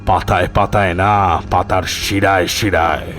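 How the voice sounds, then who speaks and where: An actor voicing a level-headed male ghost on a squad who reasons calmly and plainly. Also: sits low, unhurried, over music. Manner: calm, matter-of-fact reasoning.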